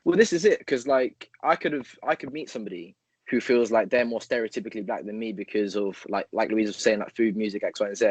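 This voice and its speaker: A man co-hosts a casual podcast chat. His voice sits a little low, and it is recorded dry and close with no room sound. He rambles as he thinks aloud, piling up fillers and hypothetical examples. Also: fast, a little mellow, breathy edge, dry recording. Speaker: podcast co-host. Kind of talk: casual conversational interview.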